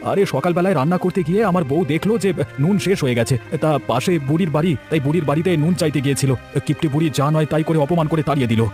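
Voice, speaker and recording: An aggrieved village husband from a cartoon, played by a man. He complains very rapidly in a slightly high male voice, with music underneath. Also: darkish tone, close to an everyday voice. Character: aggrieved village husband, sore over an insult. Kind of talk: Bengali village cartoon voice acting.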